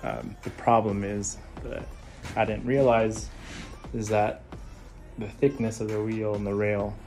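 A man's voice: on the low side, a little slow, soft background music. A man in his thirties casually talking through a woodworking build in his home shop, thinking aloud with lots of ums. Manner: relaxed, thinking aloud with many fillers. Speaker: woodworker vlogger. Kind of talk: casual workshop vlog.

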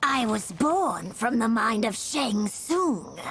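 Slow, gravelly, high-pitched feral monster woman. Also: big pitch swings, fairly bright tone, slight background noise, heavily acted. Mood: proud, defiant. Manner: theatrical, slow, menacing. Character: feral female monster clone, proud and menacing. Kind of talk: video game fighting banter.

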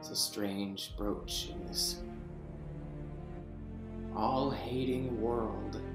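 A young man playing a deposed, imprisoned king, speaking slowly and dropping to whispers between bursts of anger. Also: fairly low pitch, steady tone, gravelly, reverberant, loud music behind the voice, exaggerated voice. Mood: brooding. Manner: slow, swings from hushed whispers to outbursts. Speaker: actor in a staged reading. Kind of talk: Shakespeare reading over video call. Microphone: video call webcam.

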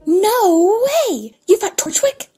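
An actress playing an excitable, boisterous young huntress girl with a husky voice in an audio drama. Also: very high-pitched, takes it slowly, soft background music, full character voice. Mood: excited.

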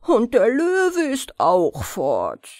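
A woman's voice: on the high side, huge pitch swings, extremely slow, dry recording, clean studio sound, exaggerated voice. A woman voicing a fat, overburdened giantess housewife who complains in a storybook reading. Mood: plaintive.

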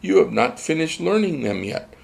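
A man's voice: mid-range voice, big pitch swings, normal speed, gravelly, pitched above the performer's own voice, low-quality recording. A man voicing a mysterious spirit teacher that takes a child's form, speaking bluntly and instructively.

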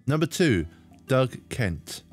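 A man hosting a sports video, giving a measured, explanatory scripted narration into a close microphone. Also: medium pitch, very animated, a little mellow. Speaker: host of a bowling video channel. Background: background music.

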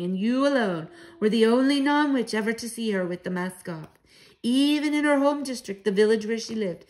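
A woman's voice: medium pitch, darkish tone, lightly acted, low-quality recording. Woman as a bold, emphatic old grandmother. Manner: assured, emphatic, dramatic. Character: bold, proud old Norwegian grandmother, conspiratorial and affectionate.

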